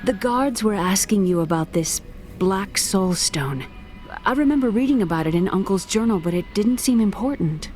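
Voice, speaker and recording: An eager young scholar, earnest and bookish, in a mid-pitched woman's voice, as an actress plays her. Soft music runs under the game cutscene. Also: lively intonation, normal speed, close to an everyday voice. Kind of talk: video game cutscene acting.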